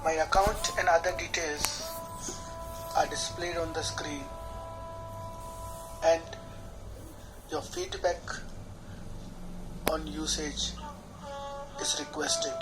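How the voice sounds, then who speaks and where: A man, a healer guiding a tapping session in a slow, measured, soothing way, speaking repeated affirmations phrase by phrase. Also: fairly high pitch, fairly even intonation, low-quality recording. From India. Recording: clear background music under the voice.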